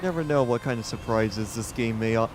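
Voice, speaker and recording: A man with a loud voice giving rambling, casual commentary while streaming a game live, often restarting his sentences and talking to the game. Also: medium pitch, a little fast, background noise. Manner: rambling, self-correcting, talks to the game.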